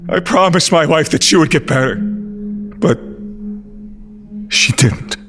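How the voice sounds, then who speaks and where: A man's voice: fairly high pitch, takes it slowly, a bit bright, faint music behind. A man playing a grieving, determined news reporter in an audio drama. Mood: numb, determined. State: grief-stricken.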